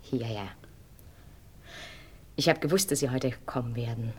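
An actress playing a seductive, mocking stage star in a radio play, with a teasing, imperious and dramatic way of talking. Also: female voice, very deep voice, a little slow, a little mellow, slightly rough, heavily acted. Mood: seductive, commanding.

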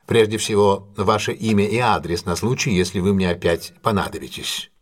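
An actor in an audio drama playing a shrewd, commanding gentleman detective, husky, courteous and intense, with theatrical deliberate delivery. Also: on the low side, fairly even intonation, natural voice. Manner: theatrical, authoritative, deliberate questioning.

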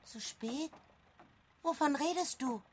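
A woman's voice: high voice, slow, a little hiss or hum. A woman playing a young boy, a devoted and desperate brother, in an emotional radio drama. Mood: anxious, pleading.

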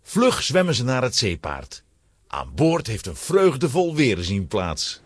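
A man's voice: mid-range voice, wildly swooping pitch, measured pace, husky, no echo, studio quality. A man narrating a scripted children's adventure story in a dramatic style.

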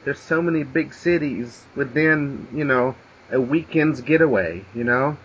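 A man's voice: medium pitch, unhurried, fairly bright tone. Male podcast host, hesitant casual talker, muffled recording with slight noise. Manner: hesitant, stumbling restarts. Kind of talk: casual interview chat. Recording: dull lo-fi sound with faint noise.

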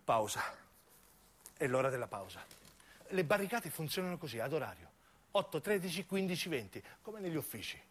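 A man's voice: on the high side, very animated, a little fast, pauses often, breathy edge. A man with a southern Italian accent narrating a first-person story theatrically, as a solo actor in a radio play. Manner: dramatic, acts out dialogue.

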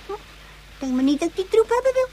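Very high-voiced bratty little girl. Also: big pitch swings, fast, warm tone, a little hiss or hum. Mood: brazen, demanding. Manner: scornful, demanding, blunt. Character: bratty, bossy little girl who whines to get her way. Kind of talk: Dutch science-fiction radio play acting.

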